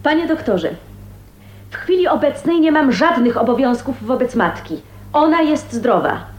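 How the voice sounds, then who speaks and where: A woman's voice: high voice, animated, normal speed. An actress playing an outspoken, resolute woman in her late twenties in a radio drama, frank and articulate. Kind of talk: Polish radio drama acting. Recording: roomy echo, faint noise, radio drama.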